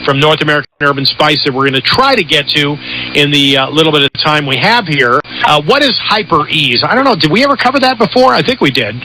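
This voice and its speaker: A man's voice: a little high, animated, fast talker, pushed and bright. A man hosting a casual promotional health talk, rambling and hesitant. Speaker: radio show host. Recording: noisy shortwave radio broadcast.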